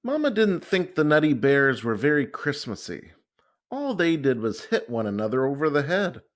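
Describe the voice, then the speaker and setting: A man reading a children's picture book and verse aloud with expressive, measured, dramatic phrasing. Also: fairly high pitch. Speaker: children's story reader.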